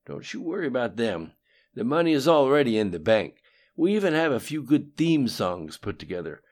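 A man voicing a brash, boastful older male schemer who chuckles and talks in quick, loud bursts with a raspy edge. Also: medium pitch, very animated, pitched above the performer's own voice, natural voice. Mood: gleeful.

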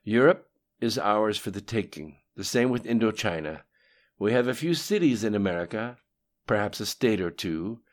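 A cold, composed male conspirator, acted by a male audiobook reader, reports to a dark master. He is deferential yet unafraid and matter-of-fact, with his pitch lifted in emphatic dialogue. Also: animated, normal speed, lightly acted. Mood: businesslike.

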